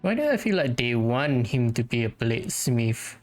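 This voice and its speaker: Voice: mid-range voice, very animated, normal speed, faint music behind. Casual gamer with short, musing reactions. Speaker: game streamer. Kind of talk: casual gameplay commentary.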